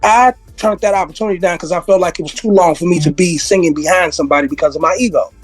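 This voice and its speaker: A hoarse man, a music industry veteran, talking as a podcast guest, rambling through stories, repeating himself and laughing often. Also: sits high, fast. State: hoarse, losing his voice. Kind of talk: casual interview conversation.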